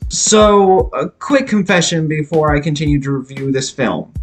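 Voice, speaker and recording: A man reading a scripted movie review as a video reviewer, in a measured, emphatic, articulate way. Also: on the high side, lively intonation. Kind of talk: scripted film review narration. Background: rock guitar music.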